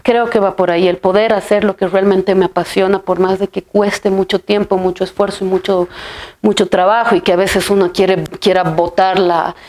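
A woman in her thirties, a filmmaker from Bolivia, sits in a bright room and answers an interviewer's questions. Her voice sits in an ordinary middle pitch. She speaks reflectively, pausing on fillers and tagging her thoughts with a soft questioning "right?". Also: level tone. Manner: thoughtful, many restarts and fillers, ends phrases with "¿no?". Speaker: filmmaker and writer. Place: bright room with a plain wall, seated in an armchair.

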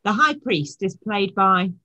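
Bright-voiced middle-aged woman minister. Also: medium pitch, lively intonation, measured pace, dull audio. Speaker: church minister introducing a cast. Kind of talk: formal welcome and introduction. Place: home study.

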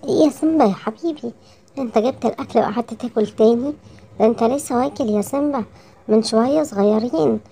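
A woman's voice: a little high, fast talker, vocal fry, dark tone. A woman voicing a caring, fretful mother lioness with an Egyptian accent in a children's cartoon story, admonishing and repeating words. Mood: gently scolding.

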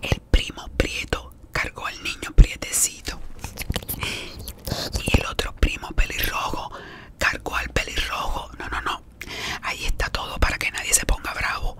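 A woman whispering in an ASMR roleplay as a beautician, gossiping while she treats a client. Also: moderate pace. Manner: gossipy whispering, talking to an unseen caller and a client.

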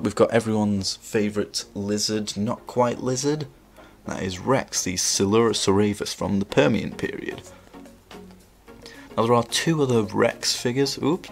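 A man's voice: fairly low pitch, creaky. A man with a cold and a congested voice, chatting enthusiastically while reviewing toys at a table indoors. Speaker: toy reviewer. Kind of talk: casual toy review. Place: indoors at a table, hands on camera.

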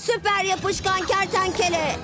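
A woman's voice: very high-pitched, measured pace. A woman voicing an earnest, exclamatory young boy lizard superhero in a cartoon dub. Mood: determined. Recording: music under the voice.

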